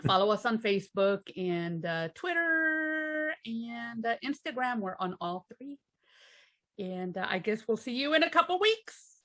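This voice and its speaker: A woman's voice: fairly high pitch, expressive, a little slow, bright, forceful tone. A woman hosting a podcast, chatting casually over a video call, laughing often and reading from a list. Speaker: podcast host. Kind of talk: casual chat.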